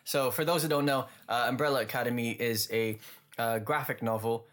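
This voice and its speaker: A man's voice: medium pitch, brisk. Rambling, easygoing male podcast co-host. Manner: relaxed, rambling with many fillers and laughs. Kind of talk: casual chat.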